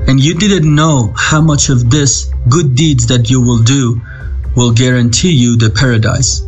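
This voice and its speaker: A man's voice: mid-range voice, fairly even intonation, a little mellow, muffled recording, soft background music. Iranian man in his thirties, earnest testimony. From Iran. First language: Farsi. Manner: earnest, halting, with frequent fillers and restarts. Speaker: interviewee telling his life story. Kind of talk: personal testimony. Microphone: video call.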